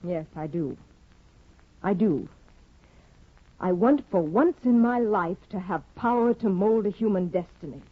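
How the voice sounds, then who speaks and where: An actress playing a proud, scheming young wife in a 1950s radio drama, with a husky, commanding, scornful delivery. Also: female voice, medium pitch, animated, unhurried, long pauses. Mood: bitter. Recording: dull lo-fi 1950 radio recording.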